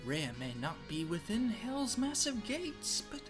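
Slow, dramatic woman as storyteller. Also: a little high, very animated, over music. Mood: wry, dramatic. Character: dramatic, wry female storyteller. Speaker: narrator. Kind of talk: scripted dramatic narration.

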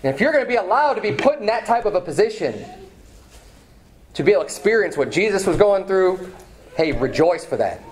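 A man in his thirties preaching a sermon from a pulpit, emphatic, repeating phrases and climbing in pitch when stirred. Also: big pitch swings, a little fast. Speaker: pastor. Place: small church hall with banner, indoors. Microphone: far camera, at a pulpit.

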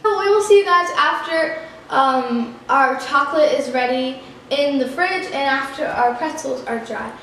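A high, bright-voiced teenage girl chatting in a giggly, playful way while she cooks. Her voice bounces around a very echoey home kitchen. A camera picks it up from across the room, and there is clear noise underneath. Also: measured pace, recorded from a distance. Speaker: vlog co-host. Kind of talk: casual cooking demo. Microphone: far camera on a tripod. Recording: echoey kitchen, noisy, from afar.

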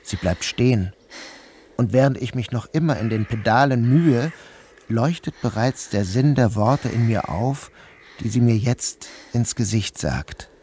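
An actor playing a troubled, dazed man who narrates his own day in a measured, literary radio drama style. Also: mid-range voice, lively intonation, a little mellow, slight background noise, close to an everyday voice. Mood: uneasy, dreamlike. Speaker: first-person narrator.

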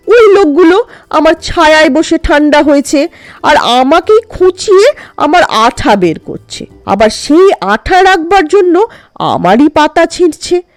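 Woman acting old talking tree, aggrieved, complaining, lively pitch, children's storybook. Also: no room sound. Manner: indignant, complaining.

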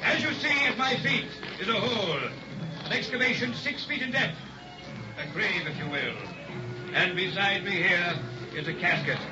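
A man's voice: sits high, normal speed, close to an everyday voice. A man playing a slick, theatrical carnival hypnotist with grand, teasing patter in an early sixties radio drama. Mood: grand, coaxing. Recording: dull old radio recording, music behind.

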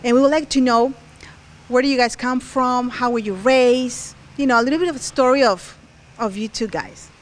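A woman's voice: on the high side, normal speed. A woman hosting an interview, chatty and upbeat, switching languages to repeat her questions into a handheld mic outdoors. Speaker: host and interviewer. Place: outdoors on the porch of a large unfinished house.

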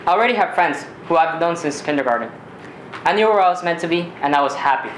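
A teenage male from Ecuador, a native Spanish speaker, reading a personal story aloud in a measured, deliberate way with occasional stumbles. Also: fairly high pitch, lively intonation, fairly bright tone. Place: small community theater stage. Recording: roomy live stage recording, faint noise.

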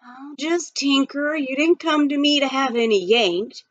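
A scarred outlaw dentist mutters gruff, terse lines as a woman puts him on in a storybook. The recording is dull and dry. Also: high voice, measured pace, a bit bright, dry recording, voice pushed up.

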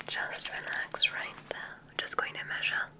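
Whispering woman as a soothing witch. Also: bright, forceful tone. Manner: soothing, slow, deliberate, formal wording. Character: kindly, encouraging witch examiner at a magic school. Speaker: ASMR performer. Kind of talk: ASMR roleplay, soft whispering.

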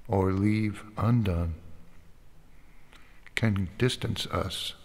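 Slow, deep man reading solemnly, long reverb. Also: vocal fry, darkish tone. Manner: measured, short phrases with deliberate pauses. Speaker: church speaker reading a statement of faith.

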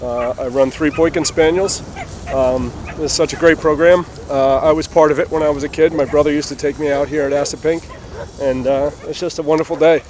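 A man in his forties gives a casual interview as a volunteer dog handler. His voice sits a little high. Faint noise of an open field is behind him. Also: fairly even intonation, fairly quick, a little mellow, rough recording.

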